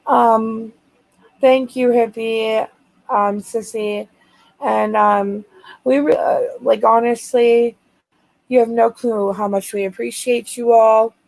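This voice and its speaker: Raspy American woman co-hosting a livestream, slow and halting, gravelly with a dry close sound. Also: on the high side, steady tone, a little mellow, dry recording, poor audio. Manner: hesitant, many ums. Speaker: livestream co-host. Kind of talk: casual livestream chat.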